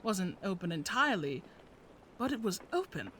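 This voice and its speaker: A woman's voice: medium pitch, sing-song, moderate pace, a bit bright, slight background noise. A woman playing a prim, polite lady who dotes anxiously on her cat in a scripted audio drama. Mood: flustered, fond.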